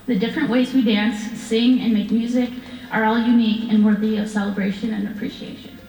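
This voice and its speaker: A woman delivers a prepared speech in a fairly high voice. The room around her is strongly echoey, with a long hall reverb trailing each phrase. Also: little pitch movement, fairly quick, darkish tone, soft background music. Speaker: dance studio founder giving a speech.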